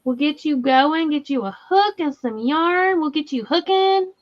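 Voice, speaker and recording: Southern woman host, high-pitched, chatty and giggly, slightly dull lo-fi sound. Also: lively intonation, unhurried. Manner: chatty, laughing, reads viewer comments aloud. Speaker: crochet livestream host. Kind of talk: casual livestream chat.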